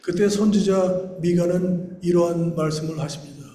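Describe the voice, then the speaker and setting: Man in his sixties, from Korea, pastor preaching, slightly high, mellow tone, flat delivery, phrases fade at the ends, roomy echo. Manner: slow and deliberate in English, brisker in Korean; phrases trail off quietly at the ends. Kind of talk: sermon.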